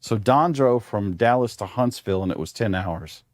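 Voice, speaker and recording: A man's voice: lowish voice, animated, normal speed, no echo. A man from the southern United States in a casual group chat, with a dry, joking way of talking.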